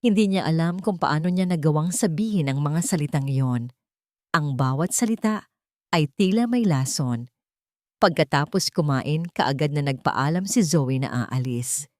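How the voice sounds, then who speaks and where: Low-voiced woman narrating a dramatic story. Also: animated, a little slow, a little mellow, no room sound, studio quality. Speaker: narrator of romantic stories.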